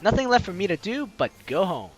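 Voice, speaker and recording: A man's voice: sits high, very animated, a little fast, soft background music, poor audio. A man hosting a let's play, reading the story aloud in a playful, dramatic way and adding his own commentary.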